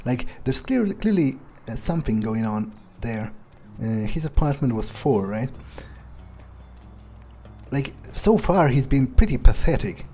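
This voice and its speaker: A man's voice: mid-range voice, very animated, unhurried, mellow, slight background noise. A man playing a callous, foul-mouthed vampire in character during a video game, sneering and improvising with hesitations. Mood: amused, musing.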